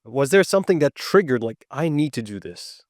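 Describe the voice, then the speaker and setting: Relaxed male podcast host. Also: medium pitch, fairly quick, dry recording, clean studio sound. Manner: relaxed, asks short questions. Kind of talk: interview questions.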